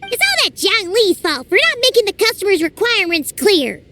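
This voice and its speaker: A woman's voice: very high voice, sing-song, a little fast, bright, forceful tone, soft background music, full character voice. An actress voicing a tiny, chirpy female floating companion creature who talks about herself in the third person. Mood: apologetic. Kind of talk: video game voice acting.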